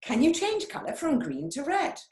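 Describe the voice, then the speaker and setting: A playful jaguar who likes to tease, put on by a woman reading a picture book. His voice is lifted and lively, stretching his words out slowly. The sound bounces around an echoey room. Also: high-pitched, pitched above the performer's own voice. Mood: teasing. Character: playful, teasing male jaguar.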